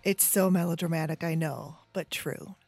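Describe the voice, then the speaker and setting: A woman in her forties hosting a solo podcast chat at home, her voice scratchy from a sinus infection, with lots of ums and trailing pauses. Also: low voice, steady tone, vocal fry, a little mellow, faint music behind. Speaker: podcast host. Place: home room with bookshelves. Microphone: headset.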